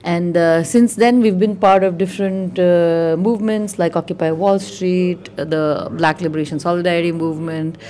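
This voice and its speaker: A woman's voice: fairly low pitch, fairly even intonation, normal speed, few pauses, mellow. A woman from India, speaking as a guest in a conversational interview, thoughtful and articulate with frequent "like" and "you know" asides. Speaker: guest, artist and organizer.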